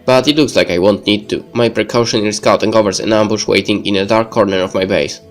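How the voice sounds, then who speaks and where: A man's voice: lowish voice, steady tone, fast. A man reading the narration of a visual novel aloud in a let's play style. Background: game music.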